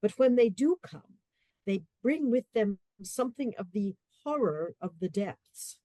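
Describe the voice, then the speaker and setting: A woman narrating a radio play in a measured, literary storytelling manner. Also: mid-range voice, animated, warm tone, no echo.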